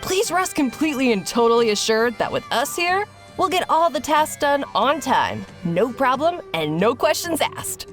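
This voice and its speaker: A woman's voice: high voice, big pitch swings, normal speed, faint music behind. A woman voicing a keen young trainee in a video game, emphatic and eager to please. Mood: eager, dutiful.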